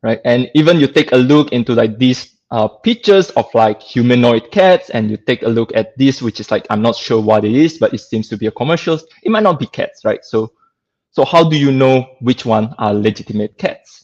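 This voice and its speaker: Singaporean accent guy doing a casual online tech lecture, says like and right a lot, medium pitch, kinda muffled lo-fi sound. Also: lively intonation, normal speed, darkish tone. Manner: conversational, peppered with "like" and checking "right?". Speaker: guest speaker, lecturer. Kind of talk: informal online technical lecture.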